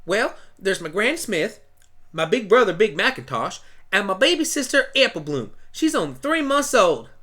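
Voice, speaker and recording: A woman's voice: mid-range voice, normal speed, pitched above the performer's own voice. Woman voicing an eager, swooping little country filly. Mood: plain, earnest. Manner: folksy, excitable chatter. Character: eager young country farm filly pony. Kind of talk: fan radio play acting.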